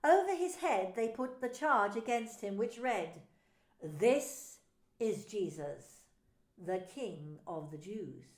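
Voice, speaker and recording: A woman pastor narrating a scripture story in a slow, solemn reading. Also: mid-range voice, lively intonation, reverberant.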